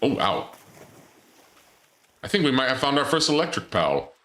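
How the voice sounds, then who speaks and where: A man's voice: mid-range voice, sing-song, moderate pace, pushed and bright, reverberant, slight background noise. A man streaming a game, chatting casually and joking while he reads chat aloud. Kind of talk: casual gameplay commentary.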